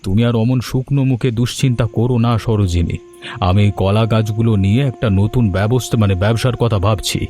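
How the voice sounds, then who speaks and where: A man voicing an old village banana farmer in an animated story, speaking earnestly and plaintively with melodrama. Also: fairly low pitch, quick pace, soft background music, close to an everyday voice. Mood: earnest, planning. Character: distressed old male village banana farmer, lamenting but resolute. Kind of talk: Bengali animated story dialogue.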